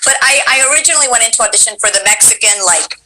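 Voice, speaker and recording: Woman host w a low voice, lively storytelling, super bright tone. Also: racing, slight background noise, rough recording. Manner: animated, acts out what directors said. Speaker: host and voice actor. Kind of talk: casual chat.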